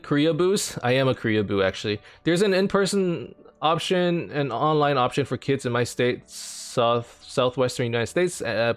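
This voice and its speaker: A young man from Canada chats with his stream viewers from home, mid-pitched and full of fillers. His voice leaps up when he gets going. Quiet game music plays behind him. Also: clear, resonant tone, no room sound. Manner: rambling, filler-heavy, pitch jumps up when animated. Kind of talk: casual chat with live chat while gaming.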